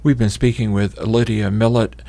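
A man's voice: on the low side, level tone, moderate pace, creaky, dark tone, no room sound. A man hosting a radio talk show, asking easygoing, chatty questions with many fillers. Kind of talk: radio interview.

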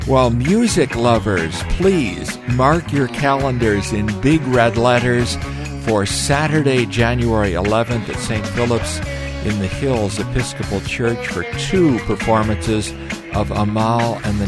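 Low-voiced male radio host. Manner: chatty, reads promos then interviews. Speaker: radio talk show host. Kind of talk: radio talk show hosting.

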